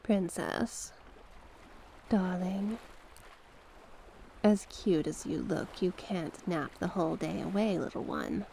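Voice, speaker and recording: A woman voicing a seductive, manipulative sea witch in an ASMR roleplay, doting yet sinister, with a hushed, breathy, coaxing delivery. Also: on the low side, big pitch swings, exaggerated voice. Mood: doting, teasing. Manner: slow, coaxing, affectionate endearments. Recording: noticeable background noise and ambience.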